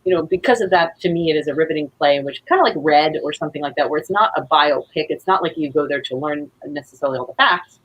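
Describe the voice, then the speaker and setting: A woman with a low, husky voice speaks as a guest in a casual interview. She is a playwright, and she talks in an earnest, rambling way. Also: fast talker, few pauses, muffled recording.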